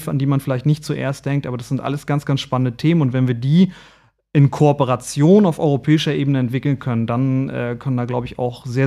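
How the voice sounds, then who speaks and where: A man's voice: mid-range voice, mellow. A man, speaking as an expert interview guest, hesitant and searching for words. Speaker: guest, payments consultant.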